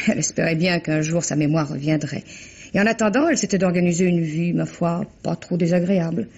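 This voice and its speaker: Polite clinic witness, husky, fairly high voice, measured and explanatory, radio drama, muffled recording. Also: male voice, a little mellow. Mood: earnest, explanatory. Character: courteous, talkative male clinic staffer giving testimony. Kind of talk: 1950s French radio drama acting.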